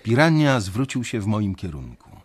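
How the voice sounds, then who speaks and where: An actor playing an uneasy young banker who narrates a radio drama in a measured, reflective way. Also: male voice, on the low side, big pitch swings, breathy edge. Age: young adult.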